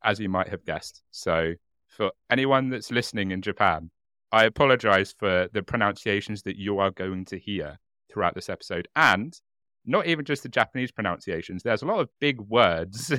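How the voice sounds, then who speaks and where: A man's voice: on the low side, moderate pace, pushed and bright, no room sound, clean studio sound. A man hosting a podcast who laughs easily, chats casually and then reads out a case. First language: English. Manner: giggly, self-deprecating, reads narration. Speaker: podcast host. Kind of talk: casual chat and reading a case.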